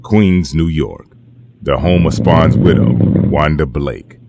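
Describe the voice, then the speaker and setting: Man as extremely deep, gravelly comic narrator. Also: takes it slowly, warm tone, no room sound, studio quality, exaggerated voice. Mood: grave, portentous. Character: ominous, very deep-voiced comic book narrator. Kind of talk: dramatic scripted narration.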